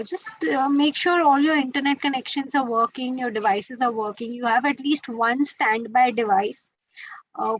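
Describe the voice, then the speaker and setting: A woman's voice: on the high side, fairly bright tone, dry recording, dull audio. A woman with an Indian accent explaining quiz rules to students on a webinar, mixing Hindi into English with many fillers. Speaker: quiz organizer teaching students. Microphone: video call.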